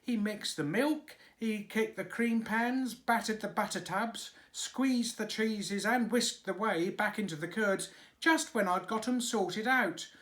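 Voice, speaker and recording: Man voicing dairymaid: shrill, loud, complaining. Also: slow pace, few pauses. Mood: indignant, wailing. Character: wailing, indignant young dairymaid woman.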